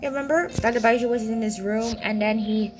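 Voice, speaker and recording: A woman's voice: fairly high pitch, fairly even intonation, a little fast. A woman giving casual gameplay commentary as a streamer, reacting in short remarks and repeating herself. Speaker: gaming streamer. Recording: loud game music behind voice. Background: video game music.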